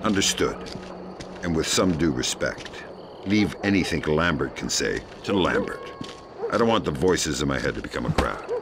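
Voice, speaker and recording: A man playing a dry, menacing veteran covert agent in a video game, deadpan and sardonic. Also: rumbling low, normal speed, background noise. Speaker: lead character. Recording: loud music behind the voice.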